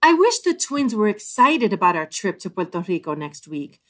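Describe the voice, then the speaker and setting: Animated, caring mom Clara. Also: female voice, mid-range voice. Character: Clara, caring, no-nonsense Puerto Rican mother. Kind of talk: audio drama acting.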